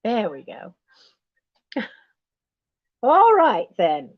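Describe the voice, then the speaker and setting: Posh British grande dame in diamonds, played by a woman; slow, high, swooping and snooty, calls everyone darling. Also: wildly swooping pitch, no echo, lo-fi sound, heavily acted. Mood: haughty. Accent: put-on posh British. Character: snobbish posh lady in wig and diamonds. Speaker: auction host. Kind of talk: in-character livestream auction.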